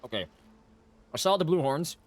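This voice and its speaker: A man's voice: fairly high pitch, lively intonation, moderate pace. A man livestreaming a game, chatting casually as he plays. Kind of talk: casual chat while playing. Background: music.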